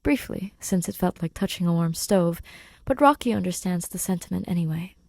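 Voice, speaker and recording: A woman's voice: on the low side, lively intonation, fairly quick, vocal fry, darkish tone, no room sound. A woman reading scripted fiction expressively as an audiobook narrator, close to the microphone. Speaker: podfic narrator.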